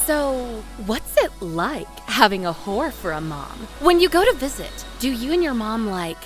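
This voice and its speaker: Woman playing a shrill, snide teenage mean girl. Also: big pitch swings, a little slow, faint music behind, rough recording. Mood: mocking. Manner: sing-song sarcasm. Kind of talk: audio drama acting.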